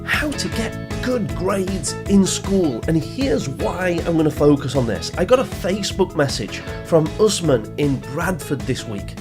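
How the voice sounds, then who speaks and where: A man's voice: a little high, big pitch swings, measured pace, hardly any pauses, music in the background. A man hosting a motivational podcast for teenagers, speaking in an upbeat, emphatic coaching manner and addressing the listener directly. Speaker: podcast host.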